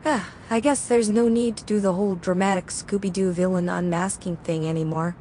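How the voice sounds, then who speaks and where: A woman voicing a cocky, teasing fox-costumed vigilante in an animated series, with sarcastic quips. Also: close to an everyday voice. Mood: mocking. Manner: sarcastic, unhurried quipping. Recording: clear low rumbling background noise.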